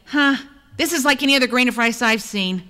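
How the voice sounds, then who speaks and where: A woman's voice: on the high side, brisk, sharp tone, clear, resonant tone, pitched above the performer's own voice. A woman voicing a practical know-it-all princess in a storybook tale, with an offhand, dismissive manner.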